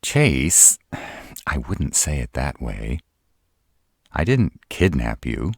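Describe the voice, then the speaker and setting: A man's voice: a bass voice, sing-song, takes it slowly, gravelly, dry recording, clean studio sound, heavily acted. A man playing a nervous, sarcastic male vampire in a roleplay audio, stammering and hesitant, easily exasperated. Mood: defensive, flustered.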